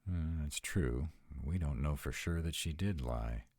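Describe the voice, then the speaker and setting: A man's voice: a bass voice, a little slow, darkish tone, dry recording, clean studio sound. A man playing a sarcastic, anxious vampire of nearly two hundred in an intimate, conversational roleplay audio. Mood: defensive. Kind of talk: intimate one-sided roleplay audio acting.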